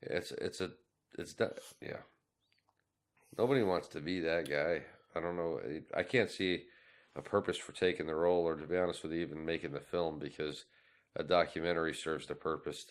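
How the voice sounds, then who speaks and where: A man's voice: deep voice, steady tone, normal speed. A man co-hosting a podcast in a casual, opinionated conversation, speaking bluntly. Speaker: podcast co-host.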